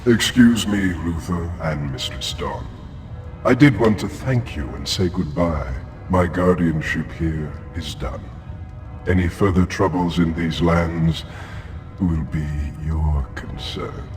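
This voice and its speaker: A man playing an ancient, solemn guardian in a video game cutscene, speaking in a grave, formal, measured way. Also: low voice, darkish tone. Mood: solemn, resigned. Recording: echoey, music behind.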